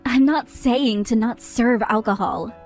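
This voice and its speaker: A woman's voice: on the high side, unhurried, darkish tone, soft background music, close to an everyday voice. A woman voicing an exasperated young bride who scolds others in an indignant tone.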